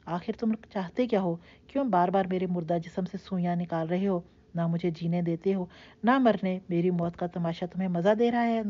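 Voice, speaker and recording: A woman's voice: lowish voice, fairly even intonation, dark tone, highs cut off, close to an everyday voice. A woman voicing a heartbroken, defiant young woman who lashes out in passionate, reproachful outbursts. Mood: bitter anger.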